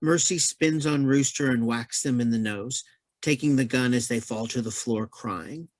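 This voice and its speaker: A man's voice: medium pitch, normal speed, no echo, studio quality. A man reading stage directions aloud as scripted narration.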